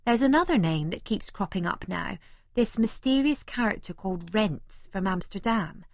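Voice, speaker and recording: A woman's voice: medium pitch, lively intonation, normal speed, no echo. Woman narrating a novel, close and dry. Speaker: audiobook narrator. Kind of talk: first-person scripted narration. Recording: remastered audiobook, close and dry.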